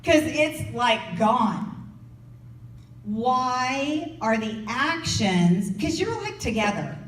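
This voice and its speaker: Animated woman teaching a goal-setting workshop. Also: a little high, extremely slow. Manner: lively and playful, acting out inner doubts. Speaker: workshop speaker. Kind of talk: motivational workshop talk. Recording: echoey room, voice from a distance.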